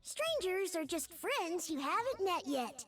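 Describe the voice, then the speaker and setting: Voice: extremely high pitch, sing-song, measured pace, a bit bright, soft background music, heavily acted. An actor voicing a small, cheerful, faintly mischievous creature scout for a video game, chirpy and earnest. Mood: friendly, upbeat. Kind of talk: video game character voice lines.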